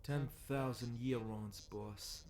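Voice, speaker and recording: A man's voice: mid-range voice, steady tone, slow pace, noisy background, close to an everyday voice. A man playing a matter-of-fact cyborg gang underling reporting to his boss in a horror roleplay. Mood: dutiful.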